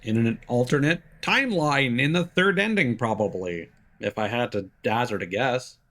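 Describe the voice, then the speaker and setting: A man's voice: medium pitch, animated, normal speed, fairly bright tone. A man giving casual live commentary as a game streamer, wry and musing aloud. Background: faint game music.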